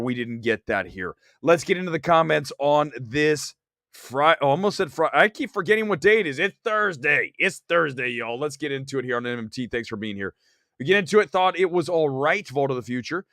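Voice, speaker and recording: A man's voice: mid-range voice, fairly bright tone, dry recording. Man, film talk host, mid-range voice, mild cold, emphatic, conversational, opinionated, dry recording. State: has a slight cold. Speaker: movie talk show host. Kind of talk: opinionated film review reading viewer comments.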